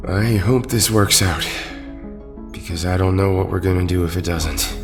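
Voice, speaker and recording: A man's voice: low voice, moderate pace, far from the mic, over music. A man playing an earnest, anxious young hero in an audio drama with a natural delivery. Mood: worried. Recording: slightly off-mic.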